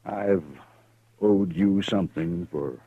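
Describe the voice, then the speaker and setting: A man's voice: deep voice, slow pace, exaggerated voice. An actor playing a grown male drifter gunman with a wry, drawling way of spinning tall tales, in a 1950s radio western. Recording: dull old radio broadcast.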